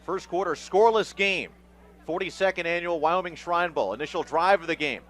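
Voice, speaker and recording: A man's voice: sits high, a little fast, a bit bright. A man doing live radio football play-by-play in clipped phrases, with emphatic calls when the action builds. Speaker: play-by-play announcer.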